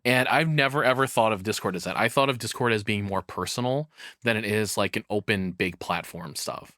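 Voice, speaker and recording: A man's voice: fairly low pitch, pushed and bright, dry recording. Casual, rambling male streamer, dry sound. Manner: halting, rambling, sweary. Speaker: reaction streamer. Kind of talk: casual reaction commentary.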